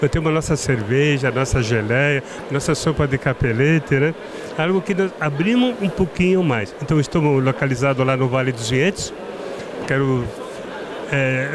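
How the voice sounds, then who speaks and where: A middle-aged man from southern Brazil with a strong Italian-tinged accent, speaking as a winemaker guest in a halting way, often restarting his words. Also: fairly high pitch. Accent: Italian-tinged Brazilian Portuguese. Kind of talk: casual interview at a trade fair. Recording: noisy trade fair ambience.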